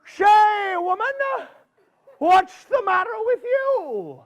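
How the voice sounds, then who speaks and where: A man's voice: very high-pitched, very animated, very slow, pitched above the performer's own voice, heavily acted. A man voicing a neighborly male groundhog in a drawling, homespun way. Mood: concerned.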